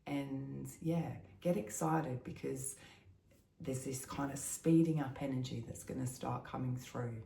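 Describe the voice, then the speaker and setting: A middle-aged woman with a croaky voice after the flu, giving a casual spiritual energy forecast and often adding "yeah?" to her sentences. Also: extremely low pitch, steady tone, measured pace, dark tone, roomy sound, slight background noise. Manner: chatty, often asks a tag "yeah?".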